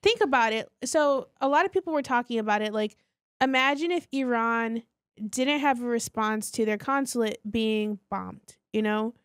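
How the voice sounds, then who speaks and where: High-pitched casual woman, dry sound. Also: moderate pace, clear, resonant tone, dry recording. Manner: informal, frequent "like", swearing. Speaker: podcast co-host. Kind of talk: casual chat.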